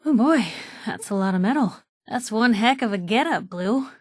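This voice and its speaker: A woman's voice: on the high side, animated, moderate pace, dry recording, studio quality. An actress playing a plucky young woman newspaper reporter in a video game, with a wry, teasing, conversational way of talking. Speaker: companion character voice actor.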